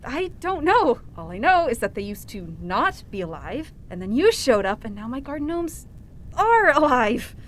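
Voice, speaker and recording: A woman's voice: sits high, big pitch swings, normal speed, a little hiss or hum. A woman playing an earnest, flustered good-cop reporter with a hesitant, exasperated manner in a fiction podcast. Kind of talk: audio fiction podcast acting.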